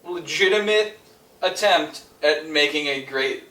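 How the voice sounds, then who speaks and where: A man's voice: fairly high pitch, a little slow, pushed and bright. A man co-hosting a casual group debate in an argumentative, jokey way, swearing now and then. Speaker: podcast host debating films. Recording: roomy, distant mic, faint noise.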